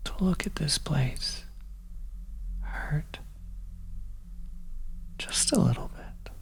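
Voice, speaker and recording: A person whispering a second-person horror story in ASMR style, breathy and deliberate, leaving long pauses between phrases. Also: medium pitch, lively intonation. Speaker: audio roleplay storyteller. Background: oppressive ambience.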